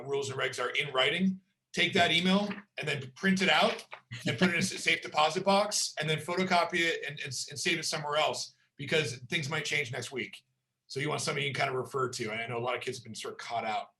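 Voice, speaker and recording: Man, fairly high voice, webinar host, wry asides, repeats words as he restarts. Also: fast, sharp tone. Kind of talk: hosting an educational webinar.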